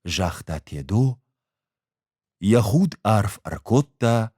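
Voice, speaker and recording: A man with an Ossetian accent reads a story as an audiobook, measured and expressive in his delivery. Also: lowish voice, husky, no room sound, clean studio sound. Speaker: actor reading a short story. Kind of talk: audiobook narration with acted dialogue.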